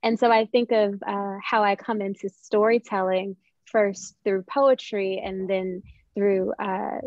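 A woman's voice: mid-range voice, level tone, unhurried, darkish tone, dry recording. Young woman, guest writer, reflective storyteller, thoughtful phrasing, dry and slightly dull call audio. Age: young adult. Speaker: guest playwright and poet. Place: small room at home, on a video call.

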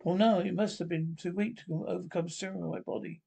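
Man reading as troubled young man, slightly high creaky voice. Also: steady tone, warm tone, heavily acted. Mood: horrified. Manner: halting, stumbling delivery. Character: troubled young man, son turned experiment subject, horrified yet resolute. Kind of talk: amateur reading of 1940s radio horror script.

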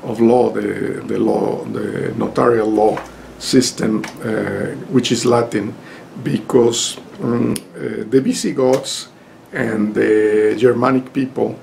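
An older man in his sixties with a Mexican Spanish accent, giving an informal lecture to camera from his home study, halting and full of fillers and restarts. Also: lowish voice, fairly even intonation, vocal fry, a little mellow, slight background noise. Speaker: lawyer and lecturer. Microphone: webcam at desk.

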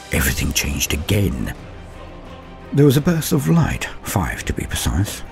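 A man with a very deep, low voice narrates a first-person horror story. His delivery is grave and measured, sinking into hushed lows and swelling at dramatic turns. Soft music plays faintly beneath him. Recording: soft music bed underneath.

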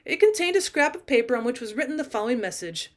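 High-voiced woman reading a story aloud. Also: big pitch swings, fast. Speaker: teacher reading audiobook. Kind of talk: scripted story narration, read aloud.

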